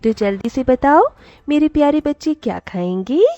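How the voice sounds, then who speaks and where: A woman's voice: high-pitched, expressive, normal speed, warm tone, dry recording, highs cut off, soft background music. A woman voicing a loving, protective aunt in a dramatised moral story, earnest, emphatic and emotional. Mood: tender. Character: loving, protective young aunt, firm and motherly.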